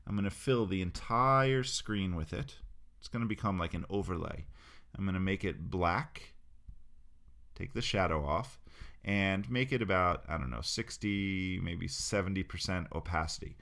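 A man narrating a screen-recorded software tutorial, explaining each step as he clicks through it in a relaxed, casual way. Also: low-pitched, normal speed.

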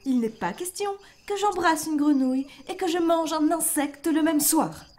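A woman voicing a hardworking, sensible young waitress, polite but firm, in an amateur animated film dub. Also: sits high, normal speed, pitched above the performer's own voice, natural voice. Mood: disgusted, reluctant. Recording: amateur dub over film soundtrack music.

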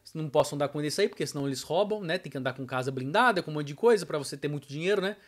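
A Brazilian man with a fairly high voice, middle-aged, vlogs from a room at home. He talks colloquially and with emphasis, straight to the people watching. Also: animated, racing. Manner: emphatic, colloquial, addresses viewers directly. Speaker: vlogger. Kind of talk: casual opinion vlog to camera. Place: small home room with arcade machine, indoors.

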